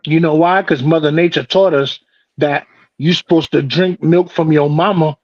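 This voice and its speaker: A man's voice: fairly high pitch, steady tone, normal speed, dry recording, dull audio. A man in his forties telling stories and bantering on a panel in a joking, slangy way with some swearing. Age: 40s. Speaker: panelist on an open panel show. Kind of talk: casual storytelling banter.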